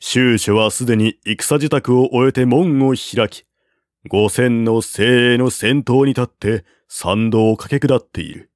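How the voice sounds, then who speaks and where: Slow, deliberate male narrator. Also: mid-range voice, dry recording, clean studio sound. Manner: steady, deliberate reading. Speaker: narrator, reader of a classical novel. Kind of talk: scripted narration of a historical novel.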